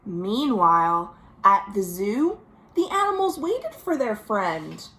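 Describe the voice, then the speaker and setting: An American woman reading a picture book aloud to young children, with chatty asides and gentle questions, drawing out key words. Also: mid-range voice, sing-song, slow. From United States. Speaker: children's librarian hosting a virtual storytime. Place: at home.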